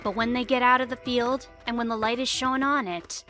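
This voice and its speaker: A woman's voice: fairly high pitch, fairly even intonation, a little fast, bright, forceful tone, soft background music. A woman narrating an audio drama in a theatrical, suspenseful storytelling style.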